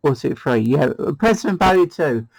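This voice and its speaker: A man's voice: a little high, very animated, moderate pace, gravelly, darkish tone. A British man hosting a CB radio demo, chatting casually in a hesitant, rambling way. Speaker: CB radio hobbyist and channel host.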